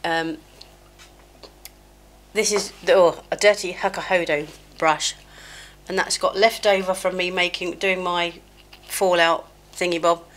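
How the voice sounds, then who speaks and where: A woman's voice: lowish voice, fairly even intonation, measured pace, fairly bright tone. Chatty woman, slightly chesty. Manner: chatty, scattered, self-mocking, many fillers. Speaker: beauty vlogger. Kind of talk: rambling get-ready-with-me chat. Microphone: small mic clipped near her, sometimes covered.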